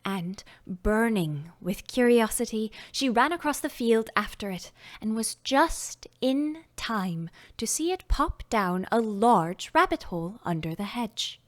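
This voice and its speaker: A woman reading a children's classic aloud as an audiobook narrator, unhurried and expressive, often dropping into a soft whisper. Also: on the high side. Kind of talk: scripted storybook narration.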